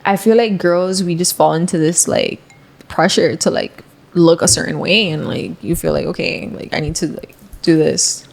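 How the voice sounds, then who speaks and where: A young woman in her twenties chats on a podcast, her voice pitched on the low side. There is a gravelly fry to it, and she strings her thoughts together loosely with lots of "like" and "you know". It is recorded close on a studio mic. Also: steady tone, normal speed, a little mellow. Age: 20s. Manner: chatty, heavy filler "like", frequent laughs. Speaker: podcast guest. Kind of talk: casual chat. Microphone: studio dynamic mic.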